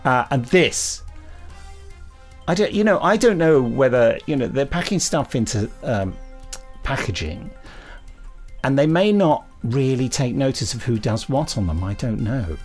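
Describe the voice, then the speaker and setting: A man's voice: mid-range voice, animated, a little slow, faint music behind. A man hosting a podcast, chatting casually in a hesitant, rambling, self-correcting way. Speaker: podcast host; audio drama writer and director. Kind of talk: casual chat.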